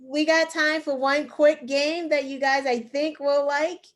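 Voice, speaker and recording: A woman's voice: sits high, steady tone, measured pace. A woman co-hosting an online news show, running a trivia game in casual chat and laughing often. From United States. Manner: laughs often, playful quizmaster. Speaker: co-host.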